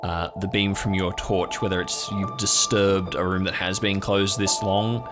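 A man's voice: deep voice, flat delivery, measured pace, music in the background. A man narrating an improvised horror tabletop game as game master, in a descriptive, suspenseful storytelling manner.

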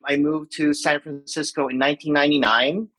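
Guest, man in his 40s from the Philippines, bright and forceful tone, reflective. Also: on the high side, level tone, fairly quick, low-quality recording. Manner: reflective, with many fillers and restarts. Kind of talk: casual interview talk.

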